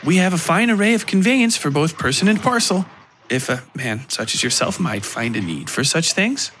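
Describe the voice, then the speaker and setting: A man voicing a brisk, cheeky young saleswoman in audio fiction, talking in eager, persuasive sales patter. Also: on the high side, sing-song, a bit bright, a little hiss or hum, voice pushed up. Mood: eager, selling. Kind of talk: audio fiction character dialogue.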